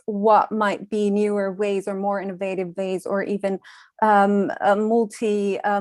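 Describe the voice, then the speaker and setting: Young woman talking design research, measured, lots of ums, dry close sound. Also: medium pitch, darkish tone, no room sound. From Iran. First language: Farsi. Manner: measured, thoughtful, with frequent ums and uhs. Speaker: panel speaker, design researcher. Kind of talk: reflective conference talk.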